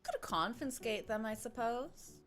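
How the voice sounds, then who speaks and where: High, lively woman streaming a game. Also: measured pace. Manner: playful, mimics game lines, hums to herself. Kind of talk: casual playthrough commentary. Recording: game music behind the voice.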